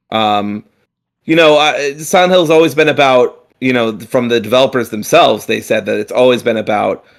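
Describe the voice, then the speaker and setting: A man with a low, gravelly voice talks loosely on a gaming podcast. He rambles, filling gaps with ums and uhs and dropping the odd swear word. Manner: rambling, frequent fillers and restarts, swears casually. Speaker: podcast co-host. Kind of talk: casual chat.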